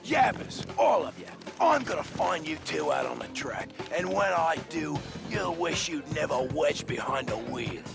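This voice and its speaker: Game villain: Australian rival racer, gravelly, animated, boastful and sneering. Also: male voice, on the high side, measured pace, hardly any pauses, over music, exaggerated voice. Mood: menacing. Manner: swaggering, sneering. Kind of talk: cartoonish game voice acting.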